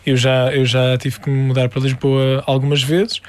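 A young man from the Algarve with a European Portuguese accent, a musician guest talking through his songs in a relaxed interview, with lots of pauses and restarts. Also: mid-range voice. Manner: thoughtful, many hesitations and restarts. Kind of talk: casual interview.

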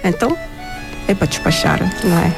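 A woman's voice: on the low side, expressive, a little fast, creaky, over music. Estonian woman searching for Portuguese words. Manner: searches for words, many short agreeing replies. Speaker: guest. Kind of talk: interview conversation.

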